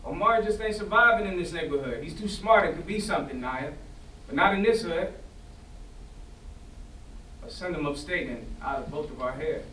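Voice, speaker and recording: A man performs a bright-voiced teenage son in a stage play. He is defiant and wounded, argumentative and emphatic. The sound is distant, with room echo and faint noise. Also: a little high, moderate pace, long pauses. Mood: bitter, hurt. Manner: emphatic, argumentative, repeating himself. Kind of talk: staged play reading, naturalistic dramatic acting. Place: indoor performance space before an audience.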